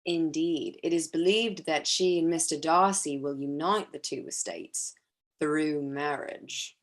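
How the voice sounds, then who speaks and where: A woman playing a smooth, self-pitying young gentleman, low-voiced. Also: a little slow. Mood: confiding. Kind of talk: staged reading of a period drama.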